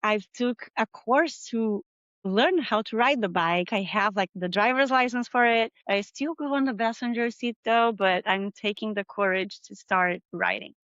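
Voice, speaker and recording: A middle-aged Brazilian woman speaks English with a clear Brazilian Portuguese accent and a smooth, resonant voice. She talks openly and thoughtfully, with a few small non-native slips. The sound is dry and a little muffled. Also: medium pitch, normal speed, fairly bright tone, no room sound. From southern Brazil. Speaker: interview guest, marketing manager. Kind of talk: video interview conversation.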